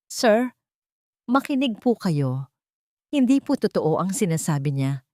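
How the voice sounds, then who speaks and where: A woman's voice: medium pitch, sing-song, a little slow, mellow, dry recording, clean studio sound. A woman voicing a humble, injured servant woman who pleads faintly and respectfully in an audio drama. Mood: desperate pleading.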